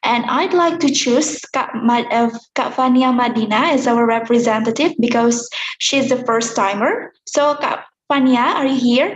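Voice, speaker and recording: Polite woman, group rep, slightly high, echoey room. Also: normal speed. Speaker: group representative.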